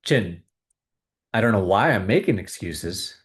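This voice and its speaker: A man hosting a video, calmly guiding viewers through a tapping exercise with repeated phrases. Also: lowish voice, unhurried. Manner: calm, repetitive, coaching tone. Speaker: host leading a tapping exercise. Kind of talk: guided tapping exercise and money talk.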